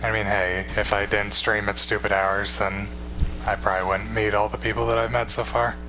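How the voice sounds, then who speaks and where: Young male streamer, 21, tired, low even delivery, loose and rambling, noisy recording with a hum. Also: moderate pace. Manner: rambling, relaxed, swears casually. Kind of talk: casual chat with stream viewers.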